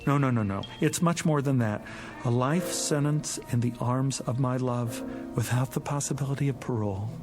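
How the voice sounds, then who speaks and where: An actor playing a lovesick, desperate, impetuous suitor, eager and effusive, in a vintage television drama. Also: male voice, mid-range voice, normal speed, over music, natural voice. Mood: excited.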